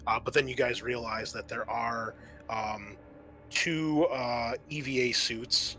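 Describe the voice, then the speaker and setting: A man working as game master, improvising narration for a tabletop roleplaying game in a halting, thinking-aloud way. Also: mid-range voice, fairly even intonation, sharp tone, a little hiss or hum.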